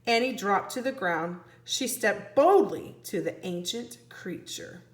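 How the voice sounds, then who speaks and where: A woman's voice: medium pitch, expressive, a little slow, echoey room. A woman teacher reading a children's storybook aloud expressively, putting questions to young listeners. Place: indoor room, book held on camera.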